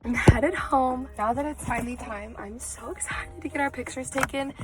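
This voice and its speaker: A woman's voice: sits high, moderate pace, loud music behind the voice. Chatty woman vlogger, candid. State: recovering from a lost voice. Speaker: vlogger and teacher. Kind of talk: casual vlog chat.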